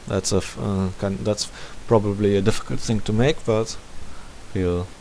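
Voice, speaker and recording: A man gives a casual screen-recording tutorial in a very low voice with a lot of fry in it. He thinks aloud as he works through each step. A faint hiss sits behind his voice. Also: steady tone, normal speed, warm tone, low-quality recording. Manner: thinking aloud while working. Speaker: tutorial host.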